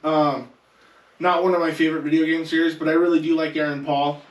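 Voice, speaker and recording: A man's voice: a little high, flat delivery, brisk, dull audio. Man talking casually in a roomy echo. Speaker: podcast panelist. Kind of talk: casual panel chat. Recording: echoey room, distant mic.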